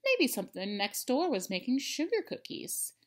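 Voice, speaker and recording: A woman in her thirties reading a storybook aloud to children, expressively and with emphatic pauses. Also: fairly high pitch, huge pitch swings. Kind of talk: animated children's read-aloud. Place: indoors, living room at home.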